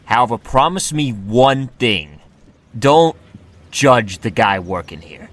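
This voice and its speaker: A man playing a gruff, impatient 1920s police detective in a radio drama, curt and commanding. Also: medium pitch, lively intonation, takes it slowly. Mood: guarded, firm.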